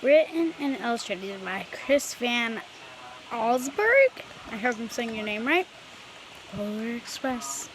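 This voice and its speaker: A woman reading a children's picture book aloud, a little haltingly, in an amateur home recording. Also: a little high, very animated, background noise. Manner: halting read-aloud with stumbles. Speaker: storybook reader.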